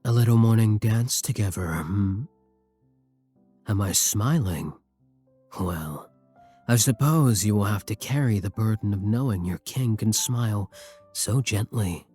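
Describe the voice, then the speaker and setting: A man playing a brooding, tender vampire king in intimate ASMR roleplay, husky and courtly, with formal endearments and hesitant pauses. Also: on the low side. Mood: moved, gentle. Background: soft music.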